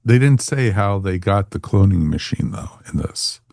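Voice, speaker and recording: A man's voice: low-pitched, animated, normal speed, creaky, a little mellow, no echo, clean studio sound. A man hosting a podcast in casual review chat.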